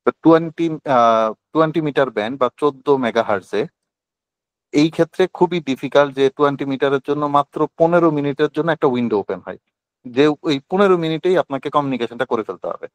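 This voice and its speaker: A man's voice: fairly high pitch, fairly quick, smooth, no room sound. Bangladeshi man teaching online, dry sound. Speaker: instructor. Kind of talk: informal online teaching, explanatory. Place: online group call.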